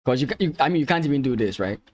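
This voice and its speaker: A man's voice: mid-range voice, animated, very fast, darkish tone. A man from Ghana, a native Ga speaker, commentating chess lines on a live stream, thinking aloud with hesitant restarts and easy laughter.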